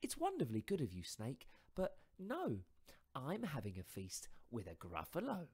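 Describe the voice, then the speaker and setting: High, chirpy little storybook mouse. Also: male voice, normal speed, breathy edge, exaggerated voice. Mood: polite. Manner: chirpy, sing-song, animated. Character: small clever mouse, cheeky, boastful trickster.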